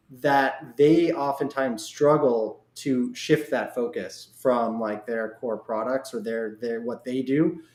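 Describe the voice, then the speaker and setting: Man, echoey room, mid-pitched, podcast interviewer, tentative conversational questioning. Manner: tentative, circling into long questions. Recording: echoey, reverberant room sound.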